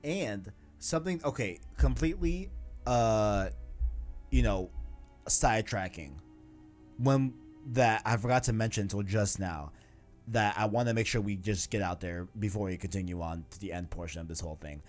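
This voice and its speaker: A man with a lowish voice hosts a casual gaming discussion. He rambles along with enthusiasm and plenty of slang. Light music plays quietly behind him. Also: moderate pace. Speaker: podcast host. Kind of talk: casual video game discussion.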